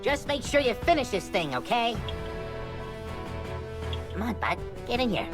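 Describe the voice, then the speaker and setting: A woman's voice: on the high side, big pitch swings, unhurried. A woman playing a plucky, cocky young-hearted adventurer in a video game, with a folksy, breezy, slangy way of talking. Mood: cocky, reassuring. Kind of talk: animated video game hero acting. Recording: music behind the voice.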